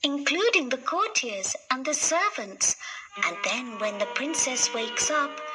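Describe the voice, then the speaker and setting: A woman's voice: high-pitched, lively intonation, unhurried, exaggerated voice, poor audio. A woman playing a kindly, solemn storybook fairy, with music behind her. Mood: solemn, gentle. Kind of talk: storybook character acting. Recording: loud music under the voice. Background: loud music.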